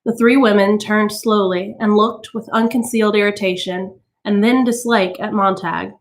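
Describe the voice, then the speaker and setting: A woman reading a novel aloud as a storytime narrator, with expressive delivery. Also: mid-range voice, moderate pace. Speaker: storytime reader.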